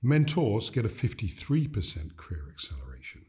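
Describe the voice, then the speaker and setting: Measured older man, warm low voice. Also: big pitch swings. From Gambia. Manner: measured, emphatic, builds points in repeated phrases. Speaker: guest, leadership coach. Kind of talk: reflective interview conversation.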